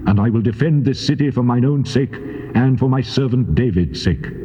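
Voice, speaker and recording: A man playing God in dramatized scripture, a solemn and commanding divine voice speaking deliberately in heavy reverb. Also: medium pitch, expressive, dark tone. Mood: solemn, merciful. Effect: long cathedral-like reverb. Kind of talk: dramatized scripture, theatrical declamation. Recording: muffled tape with long reverb.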